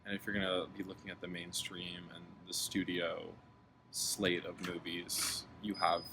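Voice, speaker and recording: A young man from New York City, a language student, answering interview questions in a measured, reflective way. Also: deep voice, level tone. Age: young adult. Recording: noisy outdoor background.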